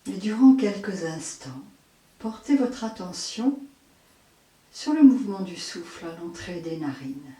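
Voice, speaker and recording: A woman leading a guided yoga nidra meditation as an instructor, in measured short phrases with long pauses. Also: lowish voice, big pitch swings, mellow. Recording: roomy echo, distant microphone.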